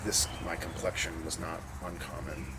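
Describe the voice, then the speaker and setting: A young man from the Boston suburbs with a very low voice talks through his thoughts in loose, reflective chat, circling back and restarting his sentences. The home recording carries a steady hiss of noise behind him. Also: normal speed. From suburbs of Boston, USA. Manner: rambling and reflective, with many restarts. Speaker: interview guest. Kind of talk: casual conversation.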